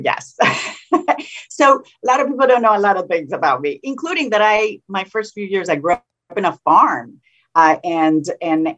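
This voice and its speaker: A woman with a slight Colombian Spanish accent, a state senator talking in an interview in an animated, combative way with frequent restarts. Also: low-pitched, highs cut off. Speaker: state senator, political guest. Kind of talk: casual political interview.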